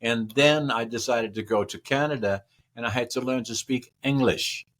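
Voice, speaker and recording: A man's voice: mid-range voice, moderate pace, a bit bright. A man, a podcast guest giving long, rambling answers that repeat words and run through lists. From Northern Netherlands. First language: Dutch. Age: 80s. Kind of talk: casual interview answers.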